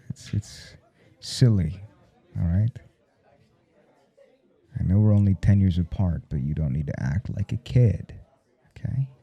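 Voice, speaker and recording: A jealous flustered professor, really dark low voice, halting and sweary, intimate asmr audio drama, kinda muffled sound. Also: male voice, exaggerated voice. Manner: halting, stammering, gruffly sweary. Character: jealous, flustered male professor smitten with his teaching assistant. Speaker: voice actor in audio roleplay.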